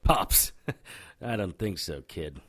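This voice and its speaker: A man's voice: low-pitched, animated, slow, a bit bright, no echo, heavily acted. A man playing a cocky, sardonic occult detective in a noir-flavored audio drama, showy and needling.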